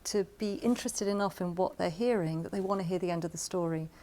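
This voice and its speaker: A woman's voice: lowish voice, fast talker, dark tone. A woman radio drama producer giving explanatory, emphatic writing advice in an interview. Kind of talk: spoken advice to camera in an interview.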